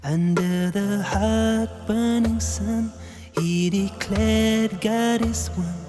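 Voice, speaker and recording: Woman singing slowly over loud music. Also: mid-range voice, fairly even intonation. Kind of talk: gentle devotional singing.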